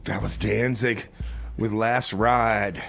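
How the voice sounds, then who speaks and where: A man's voice: on the low side, steady tone, slow pace, vocal fry, noisy background. A man hosting a radio show, casually announcing songs and bantering in comedy bits.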